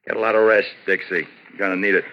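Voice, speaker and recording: An actor playing a bitter, vengeful, desperate husband with sarcastic intensity in a 1950s American radio drama. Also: male voice, lowish voice, fairly even intonation, quick pace, lo-fi sound, slight background noise, close to an everyday voice. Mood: bitter, menacing.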